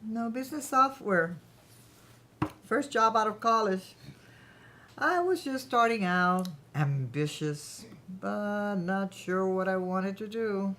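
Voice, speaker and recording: A woman's voice: mid-range voice, expressive, slow, fairly bright tone. A woman playing a proud, ambitious, chatty founder of her own company in a staged play reading. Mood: proud, reminiscing.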